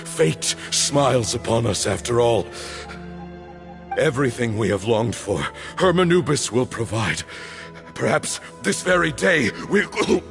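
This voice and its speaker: A man's voice: medium pitch, animated, a little slow, creaky. An actor voicing a proud, stubborn old male temple leader, solemn and theatrical, in a video game. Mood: exultant. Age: older. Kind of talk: dramatic video game acting. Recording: background music under the voice.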